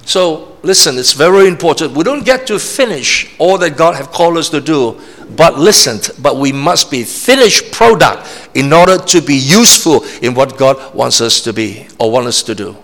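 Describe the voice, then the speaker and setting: A man's voice: fairly high pitch, sing-song, normal speed, a bit bright. A man from Malaysia, preaching a sermon in an emphatic, repetitive way and often checking in with the congregation. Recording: long reverb of a large hall.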